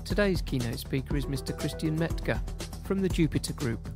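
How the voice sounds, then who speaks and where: A man reading a scripted introduction as a radio presenter, smooth and read aloud. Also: fairly high pitch, animated, a little fast. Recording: music bed behind the voice.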